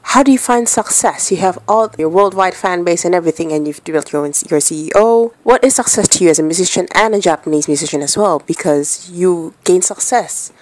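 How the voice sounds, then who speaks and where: A woman's voice: fairly low pitch, a little fast, hardly any pauses, darkish tone. A woman radio interviewer asking conversational, rather wordy and rambling questions.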